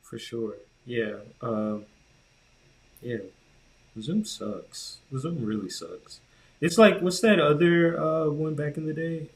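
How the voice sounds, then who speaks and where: A man's voice: a little high, slow pace, poor audio. An American man co-hosting a podcast, talking casually about tech in an informal, slangy, opinionated way. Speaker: podcast co-host.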